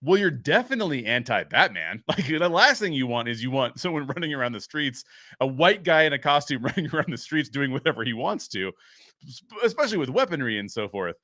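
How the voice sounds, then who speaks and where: A man's voice: mid-range voice, sing-song, a little fast, bright, forceful tone, no room sound. A man with a mid-range voice talks as the host of a commentary show. He reasons through his opinions conversationally, thinking aloud and qualifying points as he goes. The sound is dry, with very little room on it.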